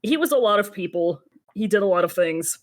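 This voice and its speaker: A woman in her thirties chats casually as a podcast co-host. She has a mid-pitched voice and a wry, sweary manner, often stalling as she hunts for the next word. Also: lively intonation, brisk. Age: 30s. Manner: sweary, wry, hesitant searching delivery. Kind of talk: casual chat about anime and voice actors.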